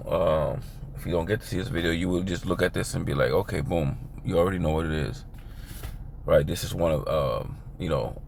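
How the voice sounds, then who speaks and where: A man with a raspy, hoarse voice giving an informal, rambling video sermon as a religious teacher. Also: low-pitched, a little hiss or hum. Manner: rambling, filler-heavy, conversational.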